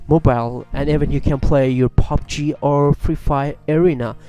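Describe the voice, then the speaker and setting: A man hosting a casual software tutorial as a voice-over on a USB microphone. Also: mid-range voice. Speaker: tutorial video host.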